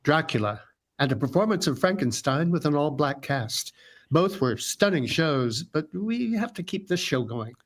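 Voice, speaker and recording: A man's voice: a little high, very animated, moderate pace. A man working as a show announcer, delivering a scripted radio sponsor message in a polished, promotional manner.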